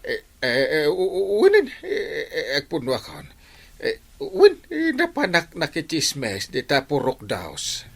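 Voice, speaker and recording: An actor with a Filipino accent playing a flustered, whining village captain, stammering and yelping in a radio comedy drama. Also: male voice, on the high side, huge pitch swings, unhurried, vocal fry, husky, exaggerated voice. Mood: whimpering. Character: flustered, whining village captain caught gossiping, comic husband.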